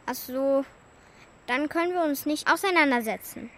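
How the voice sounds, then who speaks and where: A woman's voice: sits high, moderate pace, natural voice. A female performer in a children's radio play playing a determined, impatient young girl who speaks in a direct, childlike way. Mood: reproachful.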